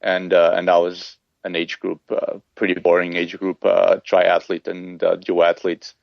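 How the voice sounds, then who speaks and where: Low, flat-voiced Portuguese-born man. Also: a little slow, gravelly, no room sound, lo-fi sound. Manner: hesitant, many fillers and restarts. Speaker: triathlon coach, podcast guest. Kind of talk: casual conversational interview.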